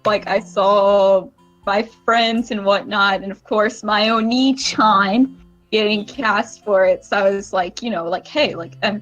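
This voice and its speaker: A woman's voice: mid-range voice, unhurried, fairly bright tone. A woman guest chatting casually in a rambling way, often saying "like", on a dull mic with music behind her. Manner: rambling, frequent "like". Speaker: guest voice actor. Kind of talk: casual chat.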